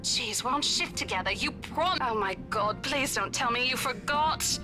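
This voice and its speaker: A woman's voice: a little high, expressive, moderate pace, close to an everyday voice. An actress playing a breezy, teasing young female real estate agent in a visual novel, chatty and easily exasperated. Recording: game music behind the voice.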